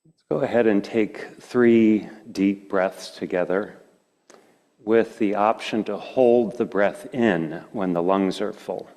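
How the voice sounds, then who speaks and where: A man leading a guided meditation as a teacher, speaking in gentle, slow phrases with long pauses. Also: fairly low pitch. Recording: reverberant hall.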